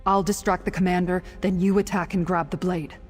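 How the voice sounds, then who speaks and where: A bold woman warrior from a fantasy video game , acted by a woman, speaks bluntly in a lowish voice. She is commanding and wry, a born tactician. Her words ring with long reverb over music. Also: fairly even intonation, fairly quick, natural voice. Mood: brisk, commanding. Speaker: companion character in a fantasy game.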